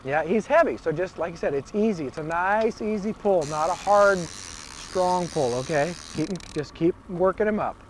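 A man with a fairly high voice gives calm, patient coaching as a fishing guide. He is recorded on an open boat on a river with a lapel mic. Boat and water noise is plainly heard behind him. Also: normal speed. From Oregon, United States.

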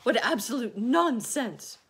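A doom-laden, irritable male earthworm from a children's storybook as a woman acts him out. He complains loudly and emphatically, pitched up, with big swoops. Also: animated, slow pace, slightly rough, heavily acted. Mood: scornful. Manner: loud, emphatic wailing protest. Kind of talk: children's storybook read aloud.

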